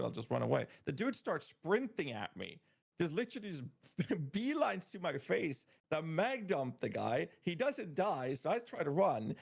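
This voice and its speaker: A man chatting on a podcast, telling animated gaming stories and laughing often. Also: high-pitched, creaky. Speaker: podcast guest. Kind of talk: casual podcast chat.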